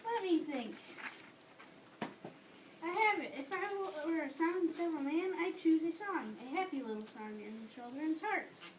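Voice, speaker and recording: A woman's voice: high-pitched, expressive, unhurried. A young woman playing an earnest, generous young boy in amateur readers theater. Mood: playful. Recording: echoey room, distant mic, faint noise.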